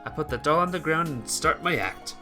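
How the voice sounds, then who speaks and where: A man live-streaming a visual novel, reading the text aloud and breaking off for casual, halting asides to his viewers. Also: fairly high pitch, animated, pushed and bright. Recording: music behind the voice.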